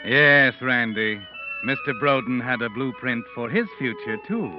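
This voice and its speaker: An actor playing a knowing, sardonic narrator of an old-time radio mystery, measured and insinuating as he addresses the culprit. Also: mid-range voice, animated, lightly acted. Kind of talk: 1940s-style radio mystery narration in second person. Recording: muffled old radio recording, music underneath.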